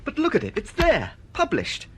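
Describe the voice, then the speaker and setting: A man's voice: very high-pitched, wildly swooping pitch, moderate pace, breathy edge, exaggerated voice. An aggrieved, swooping-voiced male novelist. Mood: indignant. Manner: earnest, pleading, swinging between protest and hesitation. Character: aggrieved, insistent male novelist, desperate and self-pitying. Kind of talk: radio drama acting.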